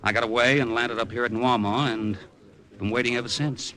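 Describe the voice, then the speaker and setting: An actor playing a wry, easygoing middle-aged American gambler, speaking in a laconic 1950s radio drama style. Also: male voice, mid-range voice, steady tone, fairly quick, fairly bright tone, natural voice. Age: 40s. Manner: laconic, breezy, conversational. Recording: vintage radio drama recording.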